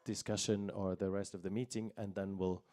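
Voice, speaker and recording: A man with a low voice chairs a planning discussion. He steers it along with easy humor and teases the participants kindly while keeping the meeting moving. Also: level tone, brisk. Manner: jovial, teasing, gently steering the discussion.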